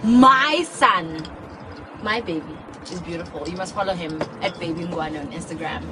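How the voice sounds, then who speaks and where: A woman's voice: lowish voice, moderate pace. A young South African woman, a radio presenter, chatting candidly and emphatically as an interview guest. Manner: lively, candid, laughing, emphatic bursts. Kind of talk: casual interview chat. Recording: loud music bed under voices. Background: loud music.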